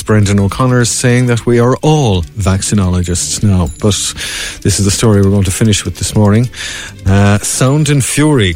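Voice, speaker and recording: Low-pitched Irish man presenting radio. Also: a little mellow. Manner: conversational, reading headlines aloud. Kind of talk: newspaper review on radio.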